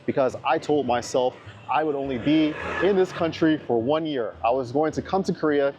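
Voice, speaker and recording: A man's voice: fairly high pitch, normal speed, muffled recording, background noise. A man in his thirties from the United States, an English teacher talking casually to camera, chatty and repeating words for emphasis. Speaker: vlogger.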